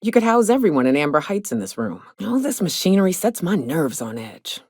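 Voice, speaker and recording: A woman voicing a gruff, tough but caring frontier monster hunter in a video game, with wry, folksy, blunt delivery. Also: lowish voice, sing-song, a little fast, darkish tone, no echo, studio quality. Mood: wry, sardonic. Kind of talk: video game character dialogue.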